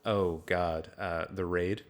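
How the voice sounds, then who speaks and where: A man's voice: sits low, steady tone, slow. A man co-hosting a casual film discussion podcast, talking in a wry, tongue-in-cheek way.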